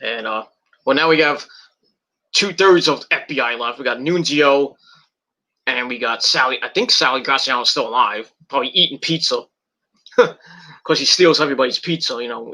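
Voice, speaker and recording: A man's voice: mid-range voice, sharp tone, low-quality recording. A middle-aged man with a cold and a tickling cough, rambling casually in a vlog with many fillers and restarts. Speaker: wrestling video reviewer.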